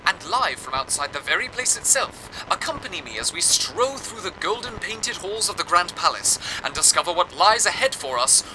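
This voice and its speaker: Grandiose genteel radio host played by a man, fairly high voice, lively swooping intonation, florid old-time broadcast delivery. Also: noisy background. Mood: grand, dramatic. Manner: florid, dramatic, deliberate phrasing. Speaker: fictional radio show host.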